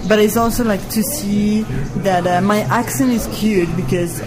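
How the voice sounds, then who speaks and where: A woman's voice: mid-range voice, fairly even intonation, normal speed, over music, low-quality recording. A woman from Spain with a Spanish accent, speaking English as a second language in an informal, conversational interview, thinking aloud as she goes. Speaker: interview guest, comic artist. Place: cafe.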